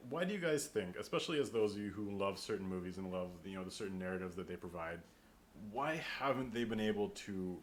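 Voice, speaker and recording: Low-pitched man hosting with background noise. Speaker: podcast host. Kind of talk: casual podcast discussion.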